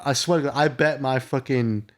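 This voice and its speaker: A man's voice: medium pitch, steady tone, normal speed, heavy background noise. A man giving casual, joking game commentary and reading dialogue aloud, sweary and mocking. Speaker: host of a comedy let's play. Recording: music behind the voice. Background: music.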